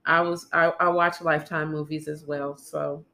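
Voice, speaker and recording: A woman's voice: deep voice, flat delivery, moderate pace, gravelly, sharp tone. A young woman co-hosting a podcast in casual, chatty talk, congested from a cold and laughing often. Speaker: podcast co-host.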